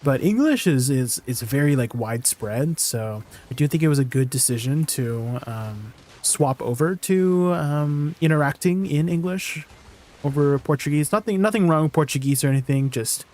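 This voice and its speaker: A man's voice: medium pitch, a little mellow. A man live-streaming, chatting casually with viewers and thinking aloud with lots of fillers and restarts.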